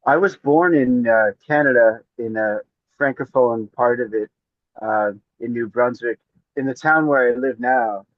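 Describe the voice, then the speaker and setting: A man from northern Maine answers interview questions in a measured, hesitant way, pausing often. His voice sits at a middle pitch. It comes through dull, dry video-call audio. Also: dry recording. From northern Maine, United States. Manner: measured, hesitant, with many pauses. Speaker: poet guest.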